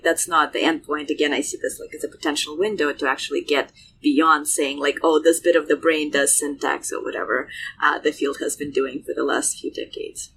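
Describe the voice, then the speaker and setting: A woman's voice: deep voice, a little hiss or hum, low-quality recording. Russian-born woman explaining brain science. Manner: animated, many fillers and restarts. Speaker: neuroscientist guest. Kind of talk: conversational expert explanation.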